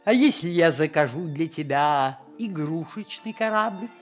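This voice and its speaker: A man's voice: on the high side, lively intonation, moderate pace, faint music behind, lightly acted. An actor playing a kindly, indulgent giant king in a radio play, benevolent and stately. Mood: kindly, musing. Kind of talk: Russian radio play acting.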